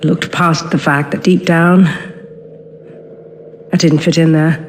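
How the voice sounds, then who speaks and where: A brooding outsider, speaks a solemn, wounded game monologue in a fairly high voice. Long reverb trails his halting phrases. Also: level tone. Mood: bitter, brooding. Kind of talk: reflective dramatic monologue in a video game. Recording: long cavernous reverb, faint ambient noise.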